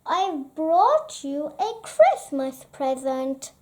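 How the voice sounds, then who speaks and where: A woman's voice: high voice, sing-song, extremely slow, darkish tone, heavily acted. A woman voicing a cheerful, friendly male squirrel from a children's storybook.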